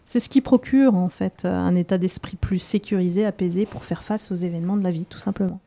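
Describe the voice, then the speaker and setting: Female guest author, mellow dark tone, calm reflective delivery. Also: on the low side, fairly quick, vocal fry.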